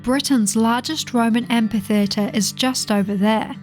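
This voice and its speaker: A British woman leads a scripted history tour. Her voice is on the high side, and light guitar music runs quietly beneath it. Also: unhurried.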